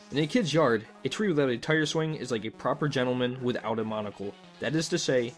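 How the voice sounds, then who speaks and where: Casting: male narrator for a comic adventure, mock-formal and wry, medium pitch, music bed underneath. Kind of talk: scripted comic narration in second person.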